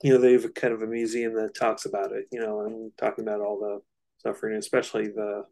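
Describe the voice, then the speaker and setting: Male podcast co-host, flat intonation, lowish pitch, informal explainer in relaxed conversational phrasing. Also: fast, creaky. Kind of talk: casual podcast discussion.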